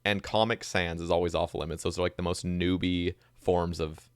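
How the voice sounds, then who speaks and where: A man's voice: low-pitched, little pitch movement, moderate pace, smooth, dry recording, clean studio sound. A young man hosting a podcast, chatting casually and reading out listener questions. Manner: easygoing, reads listener questions. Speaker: podcast host.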